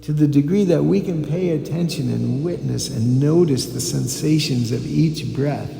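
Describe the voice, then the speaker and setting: A man leading a guided meditation as a teacher, giving calm, deliberate instructions with pauses. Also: on the high side, gravelly. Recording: echoey hall with background noise.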